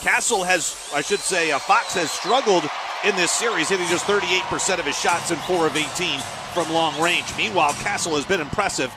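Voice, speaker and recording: High-pitched American basketball radio host. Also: male voice, a little fast, heavy background noise. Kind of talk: live pregame sports commentary. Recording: live arena broadcast with music behind. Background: music and arena sounds.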